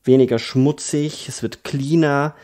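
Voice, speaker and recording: A man's voice: medium pitch, fairly even intonation, measured pace, warm tone. A man from Bavaria hosting a film podcast, talking thoughtfully with many fillers and restarts. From Bavaria, Germany. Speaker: podcast host. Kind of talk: casual film discussion.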